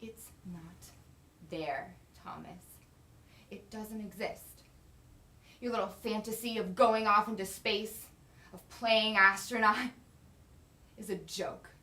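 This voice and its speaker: An actress playing a wife who fears for her husband, swinging from whispered accusations to shouted anger. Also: mid-range voice, steady tone, measured pace, roomy sound. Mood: furious. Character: worried wife confronting obsessed astronaut husband.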